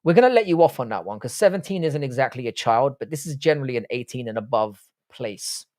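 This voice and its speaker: A man's voice: mid-range voice, expressive, fast talker, darkish tone. A man hosting a casual livestream, reading chat aloud and bantering, swearing freely.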